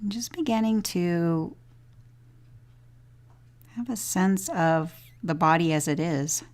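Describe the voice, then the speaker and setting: A woman with a slightly low voice guides a body scan meditation. She speaks very slowly and gently, leaving long pauses between phrases as she gives each instruction.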